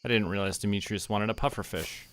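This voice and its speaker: A man giving relaxed game stream commentary, casually thinking aloud and talking to himself and the characters. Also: on the low side, fast talker. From Canada.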